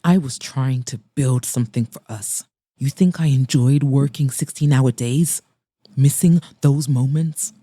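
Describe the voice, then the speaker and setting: Woman acting as brooding workaholic ex-lover, male, deep raw voice, terse, restrained, dark tone, romance audiobook. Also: measured pace, no echo. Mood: raw, frustrated. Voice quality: low, raw voice. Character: weary, brooding man, a workaholic former lover.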